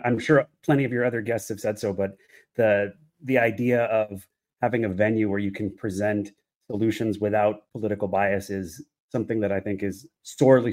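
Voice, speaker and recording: Low-pitched man, reflective podcast guest. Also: darkish tone. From United States. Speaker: podcast guest, economist and development specialist. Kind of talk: conversational interview answer.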